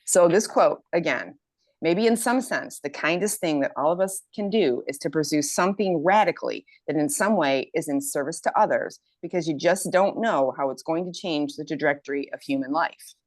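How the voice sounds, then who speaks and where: Low-voiced woman in her fifties. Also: moderate pace, dry recording. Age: 50s. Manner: enthusiastic, reads quotes aloud. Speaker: health program member. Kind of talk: casual group chat testimonial.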